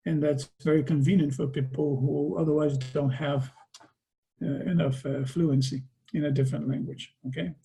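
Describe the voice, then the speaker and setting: Mellow Portuguese-accented man presenting. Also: on the high side, fairly even intonation, normal speed, gravelly, rough recording. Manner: conversational, often ends points with a tag question. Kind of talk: lecture presentation over video call. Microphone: video call microphone.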